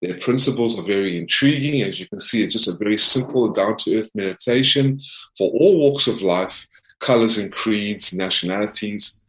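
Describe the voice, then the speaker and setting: A man's voice: on the low side, moderate pace, fairly bright tone. A man from South Africa speaking as an investigative reporter and interview guest, earnestly presenting in a formal, emphatic way and often repeating himself. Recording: roomy, echoing voice.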